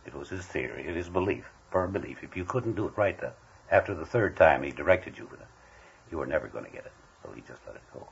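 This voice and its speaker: An older man with an extremely low voice reminisces casually as an interview guest. He drives points home by repeating phrases. The tape is dull, with faint noise behind him. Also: expressive, quick pace, creaky. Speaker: veteran radio actor interviewed as guest.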